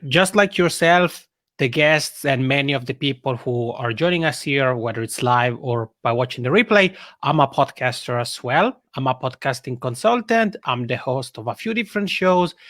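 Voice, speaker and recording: A man from the Italian-speaking part of Switzerland with a Swiss Italian accent, a podcasting consultant answering interview questions in an articulate, measured way, speaking English as a second language. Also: medium pitch, lively intonation, fairly bright tone, no echo, studio quality. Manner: articulate, measured, explains in lists.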